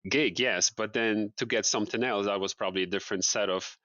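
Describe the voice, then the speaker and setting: A man in his thirties from Poland, a guest in a casual interview, relaxed and repeating his words with light laughs. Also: on the low side, a little fast, dry recording, dull audio. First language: Polish.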